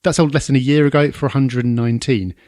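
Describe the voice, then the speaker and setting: A man co-hosting a podcast, talking through property analysis in a conversational, measured and analytical way. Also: mid-range voice, steady tone, darkish tone, no room sound, clean studio sound. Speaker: podcast co-host.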